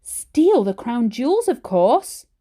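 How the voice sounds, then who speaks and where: A woman's voice: fairly high pitch, very animated, slow, warm tone, pitched above the performer's own voice, exaggerated voice. Lady doing a keen little boy in a kids story, pleading and eager for adventure. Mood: excited, pleading. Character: eager, adventurous young schoolboy grandson.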